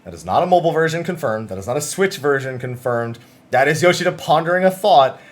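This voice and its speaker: A man's voice: a little high, lively intonation, fast. A man hosting a gaming news video, talking through written interviews in a chatty, conversational way with casual asides.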